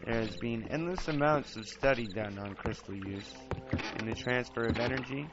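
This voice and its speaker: A man's voice: mid-range voice, normal speed, noisy background, close to an everyday voice. A man playing a chatty apprentice wizard who explains his studies in a theatrical fantasy podcast. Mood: earnest, explaining. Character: young wizard's apprentice, chatty, grumbling, eager amateur scholar. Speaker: host. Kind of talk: scripted fantasy podcast monologue and narration. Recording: dull lo-fi home recording, loud music. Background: music.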